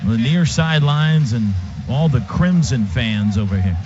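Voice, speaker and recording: A man calling live football play-by-play on radio, with an excited running description of the action. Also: mid-range voice, unhurried, over music. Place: stadium radio booth. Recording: dull radio sound over loud stadium noise. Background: loud crowd and stadium noise.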